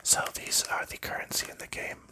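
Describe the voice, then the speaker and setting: A man giving a whispered ASMR walkthrough of a board game, explaining rules and components in a soft, unhurried voice. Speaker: ASMR creator.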